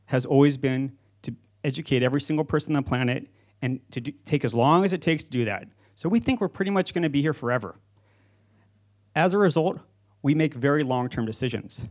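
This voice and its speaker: Higher-voiced man giving a conference talk. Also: lively intonation, brisk. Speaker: company founder giving a talk. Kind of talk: prepared conference speech to an audience. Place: stage in an auditorium with seated audience. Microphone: handheld microphone.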